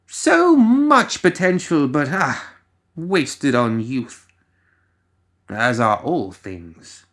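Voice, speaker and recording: Slow, theatrical male storyteller, swooping pitch. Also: a bit bright. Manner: theatrical, ominous, lingering pauses. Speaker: story narrator, reader. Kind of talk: scripted dramatic storytelling narration.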